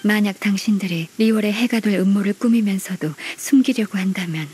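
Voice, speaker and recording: A woman voicing a polite, dutiful young secretary in an anime-style game, formal, measured and firm. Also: medium pitch, darkish tone, breathy edge. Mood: stern, interrogating.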